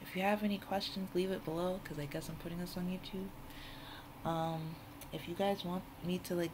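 A woman's voice: on the low side, steady tone, moderate pace, noisy background. A woman hosting a casual, off-the-cuff tutorial in a chatty, informal, rambling way. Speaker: tutorial host.

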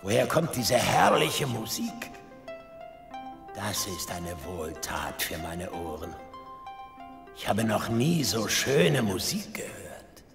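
A man's voice: on the low side, very animated, slow pace, vocal fry, music in the background, full character voice. An actor playing a troubled, volatile king in a theatrical, commanding manner in a Bible audio drama. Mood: soothed, pleased. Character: troubled, volatile king, swinging from anguish to booming festivity.

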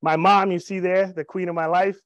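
A man's voice: high voice, level tone, fairly quick, dry recording, dull audio. A man in his thirties giving an upbeat, joking sales presentation on stage with a handheld mic, telling personal stories. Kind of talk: sales presentation to a live audience. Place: event room with a stage. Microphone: handheld microphone.

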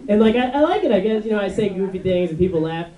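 A young man doing stand-up on a dark club stage, his high voice swooping lively up and down as he rambles through fillers. He is caught from a distance, with the room's echo around him. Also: a little hiss or hum. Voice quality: high, unmasculine voice. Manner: rambling, halting with many fillers. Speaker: stand-up comedian. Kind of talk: stand-up routine. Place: dark club stage before a live audience. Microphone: handheld mic on a stand. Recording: echoey room, mic from far off.

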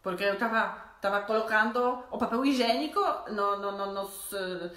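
A woman's voice: medium pitch, moderate pace, fairly bright tone, echoey room. A woman in her forties with a Polish accent, chatting to camera in her living room, often restarting phrases and laughing between them. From Poland. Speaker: vlogger. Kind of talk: casual chat to camera. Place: living room at home with fireplace.

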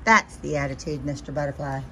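A woman's voice: deep voice, fairly quick, a bit bright, slight background noise, voice pushed down, rough recording. A woman voicing a huge, patient whale in a children's picture book, blunt, firm and encouraging. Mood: reassuring. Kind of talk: animated children's picture-book read-aloud.

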